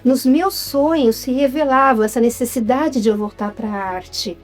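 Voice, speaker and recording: A middle-aged woman from Jundiaí with a São Paulo state accent, telling her story as a guest in a chatty, relaxed interview. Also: fairly high pitch, unhurried, soft background music. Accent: Brazilian Portuguese, São Paulo state. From Jundiaí, Brazil. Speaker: guest, writer and actress. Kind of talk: casual interview.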